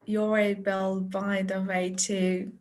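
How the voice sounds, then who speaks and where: A woman presenting a teacher-training webinar over a video call, chatty and unhurried, with light laughs and fillers. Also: mid-range voice, level tone, a little mellow, a little hiss or hum. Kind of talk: friendly online teacher talk.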